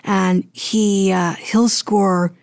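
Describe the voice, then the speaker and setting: A woman's voice: mid-range voice, steady tone, drawn-out words, creaky, dry recording, studio quality. A woman speaking casually as an interview guest, thinking aloud in a hesitant, rambling way. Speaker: guest, audio drama producer and voice actor.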